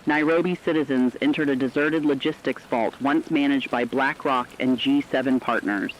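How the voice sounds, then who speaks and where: A man's voice: mid-range voice, steady tone, normal speed, a little hiss or hum, lightly acted. A man playing a sober radio field correspondent, giving a scripted report on a collapsing world in a formal, earnest way. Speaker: news correspondent. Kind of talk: scripted dramatic news report. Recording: dull lo-fi radio sound.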